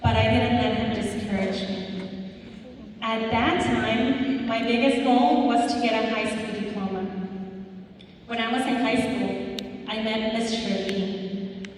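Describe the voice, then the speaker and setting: A woman from Mexico, giving an earnest personal motivational speech at a school in a slow storytelling manner with emphatic pauses. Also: a little high, fairly even intonation, a little hiss or hum. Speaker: guest speaker at a school. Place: large school hall. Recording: echoey hall, voice from far off.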